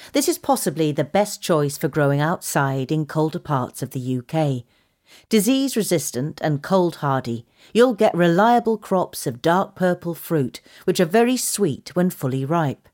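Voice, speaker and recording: A woman's voice: low voice, darkish tone. A woman reading a scripted gardening article as narration. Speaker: magazine article reader.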